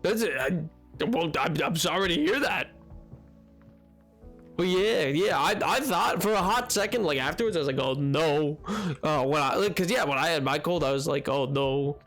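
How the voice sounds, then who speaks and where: A man streaming a game, chatting with his viewers in a rambling, excitable way, his voice hoarse from a cold. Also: fairly high pitch, moderate pace, soft background music. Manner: rambling, excitable, jumps between topics. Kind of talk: casual chat with stream chat while gaming.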